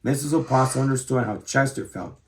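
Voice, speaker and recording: A man in his thirties narrating a children's storybook aloud in an expressive way. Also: medium pitch, brisk. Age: 30s.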